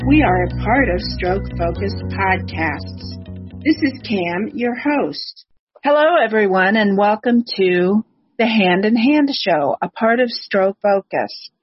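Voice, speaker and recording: A woman's voice: mid-range voice, animated, takes it slowly, soft background music. A woman hosting an interview podcast, moving between scripted introductions and questions for a guest. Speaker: podcast host. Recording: dull, lo-fi, slightly muffled audio.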